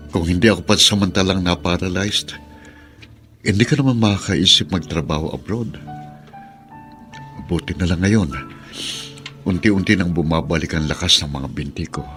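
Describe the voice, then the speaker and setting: An actor playing a kind middle-aged husband who speaks tenderly and reassuringly in a radio drama. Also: male voice, low voice, normal speed, long pauses, fairly bright tone, faint music behind.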